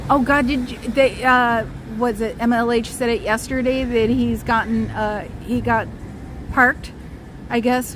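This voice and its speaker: A woman live-streaming outdoors on a city street, talking in a rambling, chatty way and calling out to passers-by while she is losing her voice. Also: fairly high pitch. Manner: chatty, digressive, calls out to passers-by. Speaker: livestreamer. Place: outdoors on a street in San Francisco. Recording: outdoor street recording with traffic noise.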